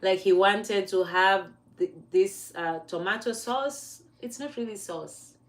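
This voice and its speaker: A Kenyan woman hosting a relaxed livestream, speaking to her viewers in a chatty, encouraging way. She laughs often and stops to fix her wording as she goes. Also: medium pitch, slow pace. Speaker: livestream host. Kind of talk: casual motivational livestream.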